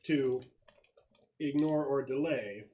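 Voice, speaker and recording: A man's voice: mid-range voice, slow pace, mellow, dull audio, a little hiss or hum. A man acting as game master in a casual tabletop session, thinking aloud through rules and narrating the action.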